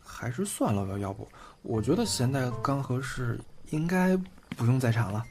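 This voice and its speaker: 一名男演员在广播剧里饰演一个甜蜜害羞、爱说话的年轻男友，语气真诚、带点孩子气和自嘲。. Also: male voice, mid-range voice, big pitch swings, moderate pace. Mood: teasing, happy. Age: young adult. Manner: earnest, boyish, self-deprecating. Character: sweet, bashful, chatty young boyfriend in love. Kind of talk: Chinese audio drama acting. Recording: music under the voice. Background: background music.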